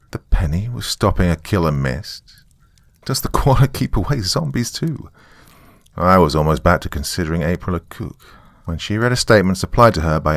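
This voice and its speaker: A man's voice: low voice, no echo. A man narrating a first-person horror story in a conversational, wry way.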